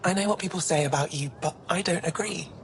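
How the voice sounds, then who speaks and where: An actress playing an earnest, hopeful alien archaeologist in a video game. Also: female voice, quick pace, close to an everyday voice. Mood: sincere, persuasive. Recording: clear background noise, low rumble.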